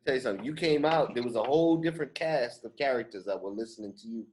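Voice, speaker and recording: Male podcast host, rapid delivery, casual and slangy, repeats lines for emphasis. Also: mid-range voice, slight background noise. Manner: animated street slang, repeats phrases for emphasis.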